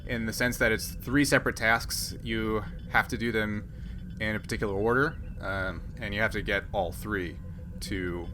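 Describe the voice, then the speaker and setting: A man acting as game master, narrating a tabletop roleplaying game and explaining rules in a halting, think-aloud way. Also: on the low side, steady tone, background noise.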